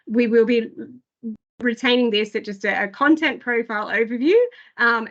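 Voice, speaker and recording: A woman giving an online product briefing, slightly hoarse and full of hesitant fillers. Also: on the high side, a bit bright, dull audio. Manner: filler-heavy, frequent "I guess" and "um". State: losing her voice. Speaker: product presenter.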